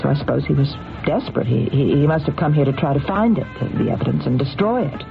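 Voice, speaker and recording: An actress playing a scheming businesswoman who feigns innocence, halting and theatrical, in an old-time radio drama. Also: rumbling low, creaky, heavily acted. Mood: reluctant, distressed. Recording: muffled vintage radio with music behind.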